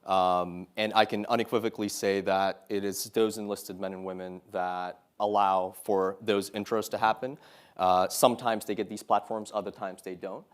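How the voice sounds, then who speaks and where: A man in his thirties giving an earnest, measured public talk to an audience as a guest speaker, pausing often on "uh" and "um". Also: on the low side, flat delivery, clear, resonant tone. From Saudi Arabia. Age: 30s. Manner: earnest, measured, many "uh" and "um" fillers. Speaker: guest speaker, Marine officer.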